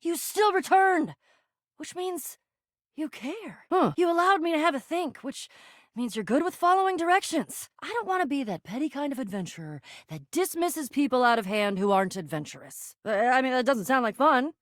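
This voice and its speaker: A woman's voice: high voice, animated, normal speed, dry recording, clean studio sound. A woman voicing a plucky, earnest and slightly insecure adventurer in a video game, mixing dramatic quest-speak with stammering asides. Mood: earnest, hopeful, then hurt, defensive. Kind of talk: animated video game character acting.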